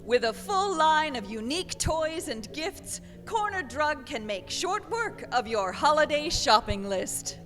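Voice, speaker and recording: A woman giving dramatic scripted narration for a radio play, with deliberate, theatrical phrasing. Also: high-pitched, sing-song, fairly bright tone, soft background music. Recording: live hall with long reverb.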